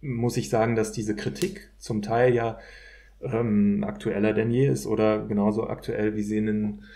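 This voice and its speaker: A man's voice: lowish voice, level tone, moderate pace, gravelly, warm tone. A man speaking as an expert guest in an analytical discussion, hesitantly searching for his words. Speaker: guest, political scientist.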